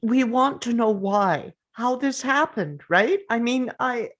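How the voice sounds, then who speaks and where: A woman plays a conciliatory father, speaking slowly and with care. The delivery is halting, seeking understanding in a scripted drama. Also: fairly high pitch. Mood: careful, pleading. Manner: careful, halting. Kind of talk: scripted drama table read.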